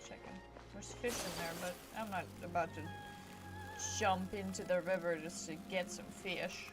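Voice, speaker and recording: A woman's voice: fairly low pitch, fairly even intonation, normal speed. A woman casually commenting on her game playthrough in a playful, giggly manner. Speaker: streamer. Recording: game music behind the voice. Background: game music.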